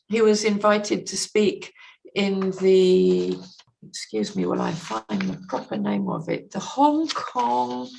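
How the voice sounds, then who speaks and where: A woman speaking as a literary interview guest, explaining things in an articulate, considered way and pausing to find the right words. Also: mid-range voice, gravelly, rough recording. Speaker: podcast guest, literary translator.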